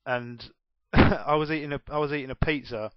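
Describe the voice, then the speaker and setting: A man's voice: medium pitch, steady tone, moderate pace, smooth, no echo. A young man in his twenties with a well-spoken southern English accent, chatting casually through a vlog Q&A in a self-mocking way and laughing often. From England. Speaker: gaming YouTuber. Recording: dull, dry home recording.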